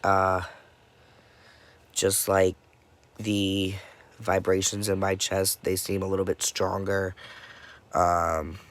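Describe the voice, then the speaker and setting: A young man giving a casual personal update, stuffy and congested from a cold, dead tired, talking haltingly with many pauses and fillers. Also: deep voice, little pitch movement, fairly bright tone. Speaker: vlogger.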